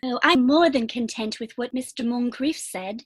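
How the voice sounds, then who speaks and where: A woman's voice: on the high side, moderate pace, husky, no echo. A woman playing a sweet, romantic young girl of good family in a period stage comedy, with a prim, eager manner.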